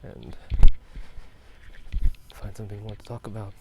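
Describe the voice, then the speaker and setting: A man in a hushed, half-whispered ASMR chat, rambling hesitantly as he walks outside at night. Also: lowish voice, lively intonation, unhurried. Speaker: gaming and ASMR video creator. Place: outdoors at night, walking. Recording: noisy night outdoors, frogs croaking. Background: frogs croaking, night ambience.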